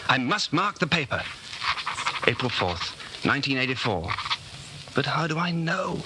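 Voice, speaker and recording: A man's voice: medium pitch, sing-song, measured pace. An actor playing an anxious, secretly rebellious man of about forty in a 1940s radio drama, brooding and urgent. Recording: noisy 1940s radio broadcast recording.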